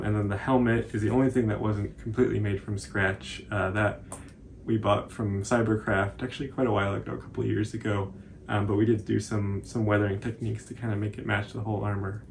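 A man's voice: on the low side, a little hiss or hum. A man in his twenties with an American accent, chatting casually through a craft tutorial as host. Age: 20s. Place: small indoor room at home, on a couch.

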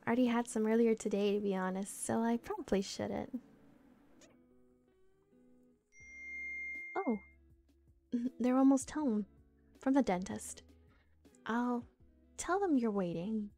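A young woman playing a friendly, bashful, teasing older sister in a gentle, chatty ASMR roleplay. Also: fairly high pitch, moderate pace, pauses often, faint music behind, close to an everyday voice. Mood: warmly teasing. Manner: gentle, giggly, bilingual English and Japanese. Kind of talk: soft ASMR roleplay, casual chat.

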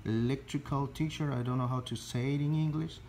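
A man with a Spanish accent, Spanish his first language, teaching an electrical calculation slowly step by step, halting and restarting phrases as he searches for English words. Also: medium pitch, fairly even intonation, a little mellow, slight background noise. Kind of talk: slow step-by-step tutorial.

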